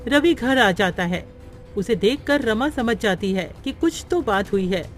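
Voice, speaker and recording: High-pitched woman narrating a story, music behind. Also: expressive, measured pace. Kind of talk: scripted storybook narration in a cartoon.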